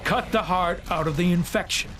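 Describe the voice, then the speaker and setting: A man playing a ruthless, domineering military commander in a video game, with loud music behind him. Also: high-pitched, moderate pace, noisy background, close to an everyday voice. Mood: stern, impatient. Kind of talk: video game cutscene acting.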